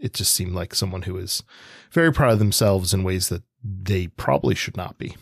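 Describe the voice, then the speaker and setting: A man co-hosting a podcast, discussing literature in a measured, analytical, conversational way. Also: low voice, husky, no echo, clean studio sound. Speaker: podcast co-host.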